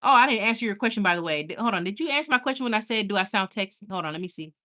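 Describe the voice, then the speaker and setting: A young woman with a Caribbean Spanish accent talks straight to her live chat, bubbly and quick to squeal with excitement. Her voice is bright and forward. She sounds at home and at ease. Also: medium pitch. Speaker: livestream host. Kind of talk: casual live chat reading viewer comments.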